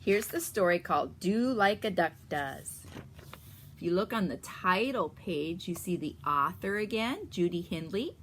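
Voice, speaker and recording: A woman reads a picture book to children with a slow, deliberate pace and very lively intonation. She sounds teacherly, stopping to explain and ask questions. Her voice is bright. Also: fairly low pitch, slight background noise. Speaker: story reader for children. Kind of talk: expressive picture-book read-aloud with explanations.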